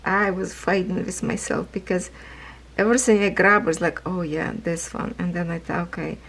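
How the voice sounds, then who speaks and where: A woman chatting casually about her cross-stitch projects, rambling, laughing often, with a hoarse voice as she is losing it. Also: lowish voice, flat delivery, normal speed, a bit bright, low-quality recording. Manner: rambling, non-native phrasing, laughs often. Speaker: craft vlogger. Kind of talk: casual chat while stitching.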